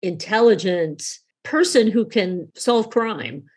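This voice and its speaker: A woman's voice: mid-range voice, lively intonation, slow, darkish tone, slightly rough. A woman hosting a conversational podcast interview, talking in an effusive, emphatic way.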